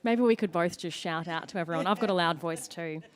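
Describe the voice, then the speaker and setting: A woman's voice: fairly low pitch, a little fast. A woman with an Australian accent hosting a stage event, introducing and interviewing a guest in an encouraging manner. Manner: warm, encouraging, upbeat. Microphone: microphone.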